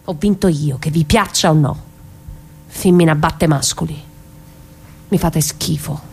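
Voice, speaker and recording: A woman's voice: low voice, measured pace, creaky, darkish tone. A woman playing a brave, defiant mother on the run from the mafia in a theatrical radio drama. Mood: defiant, scornful.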